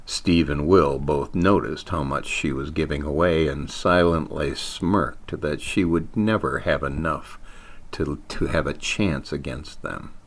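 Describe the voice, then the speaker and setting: A man's voice: rumbling low, measured pace. A man reading a scripted story aloud as its narrator, with theatrical emphasis on wordplay and punchlines. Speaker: author reading own short story.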